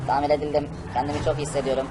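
Man voicing a rapid, higher-pitched talking race car. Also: flat delivery, close to an everyday voice. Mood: friendly. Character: friendly talking race car, pleading and chummy. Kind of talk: amateur cartoon-style game video role-play. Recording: noisy, vehicle rumble and game ambience.

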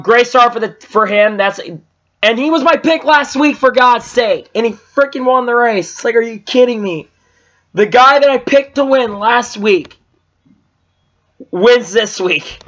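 A young man talks into a webcam in a small room and rambles through a race recap, with plenty of fillers and restarts. His voice sits high and sounds a bit strained. The audio is dull and lo-fi. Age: young adult. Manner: rambling, lots of fillers and restarts, gets excited. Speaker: vlogger reviewing races. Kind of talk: casual race recap vlog. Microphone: webcam at low angle.

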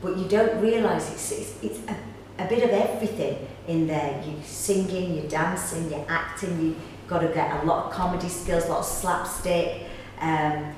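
A woman's voice: on the low side, normal speed, few pauses, darkish tone. A middle-aged woman, chatting informally in a casual interview. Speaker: pantomime actor. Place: small indoor room, seated on sofa. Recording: echoey room, distant mic, faint noise.

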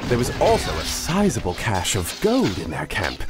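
A man's voice: fairly low pitch, wildly swooping pitch, normal speed, music in the background. An actor playing an earnest medieval steward in a video game, reporting to his lord in a deferential, theatrical manner. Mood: pleased, dutiful. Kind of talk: video game cutscene acting.

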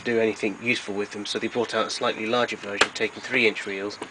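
A man giving an informal, matter-of-fact demonstration as a collector, explaining things in a halting way. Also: on the low side, flat delivery, heavy background noise. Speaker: collector presenting his tape recorders. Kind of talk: informal product demonstration narration.

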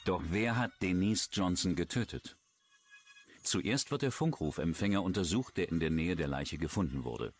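A man's voice: low voice, animated, normal speed. A man reading scripted true-crime narration as a documentary narrator.

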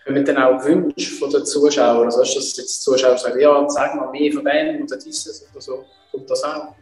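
A man in his forties with a Swiss German accent hosts a casual interview, putting questions at a medium pitch. His voice rings with long reverb in an echoey indoor room, and faint music plays behind. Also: flat delivery, normal speed, darkish tone. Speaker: interviewer, host. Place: indoor martial arts training room.

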